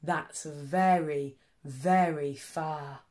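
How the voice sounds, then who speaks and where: A woman voicing a big, gentle father hare in a storybook, speaking with measured, tender affection. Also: deep voice, steady tone, pitched below the performer's own voice. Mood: gently impressed.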